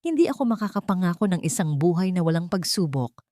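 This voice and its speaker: A woman's voice: fairly low pitch, very animated, quick pace, mellow, no room sound, clean studio sound. A woman voicing a remorseful, wealthy male CEO who pleads humbly and earnestly in a romantic audio drama. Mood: earnest vowing.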